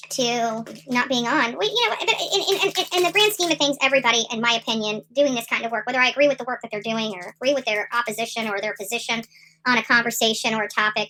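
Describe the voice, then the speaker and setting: Middle-aged woman, live commentary host, quick and bright, emphatic and rambling with lots of fillers. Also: fairly high pitch, slight background noise, rough recording. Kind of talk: casual livestream commentary.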